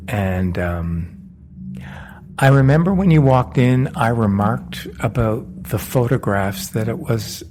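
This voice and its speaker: A man's voice: mid-range voice, expressive, takes it slowly, a little mellow, a little hiss or hum. A man hosting a podcast interview, telling how he met his guest in a conversational way, indoors at a culture centre. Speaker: podcast host.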